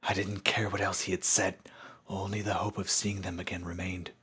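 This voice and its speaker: A man giving a measured, solemn dramatic narration of a fantasy story, as in an audiobook. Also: deep voice, flat delivery, bright, forceful tone.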